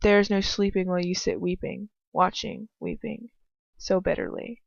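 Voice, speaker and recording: A woman's voice: medium pitch, moderate pace, creaky. A woman doing an amateur read-aloud of fan fiction in a casual, irreverent way, stumbling and breaking into chatty asides. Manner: irreverent, giggly, swearing asides, stumbles while reading. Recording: muffled lo-fi home recording, roomy echo.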